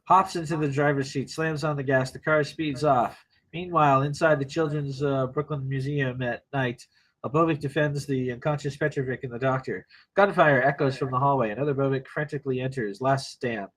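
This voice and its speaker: A man's voice: medium pitch, steady tone, fairly quick, a bit bright, poor audio. A man reading stage directions at a screenplay table read in short, terse present-tense phrases.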